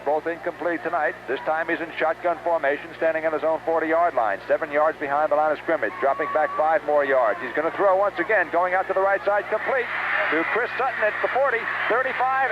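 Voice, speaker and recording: An American man gives live football play-by-play in a high-set voice. The audio is a muffled 1970s radio broadcast with clear background noise, recorded at an outdoor game.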